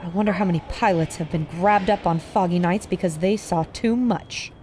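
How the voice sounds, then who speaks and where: A woman's voice: on the low side, normal speed, dark tone, a little hiss or hum, natural voice. A woman playing a plucky adventurer reporter in an audio drama, confiding urgently to her listeners. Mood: awed, observant. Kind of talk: audio drama acting, narrating into a recorder.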